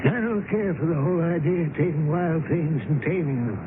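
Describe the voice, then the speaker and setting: A very old country gentleman played by a male actor speaks in a high voice with courteous, hesitant earnestness and deep conviction. It is radio drama, heard through a thin, tinny vintage broadcast with clear noise. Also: brisk, close to an everyday voice. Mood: earnest, impassioned. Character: kindly, impassioned ninety-year-old nature-loving country gentleman. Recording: noisy, band-limited vintage radio broadcast.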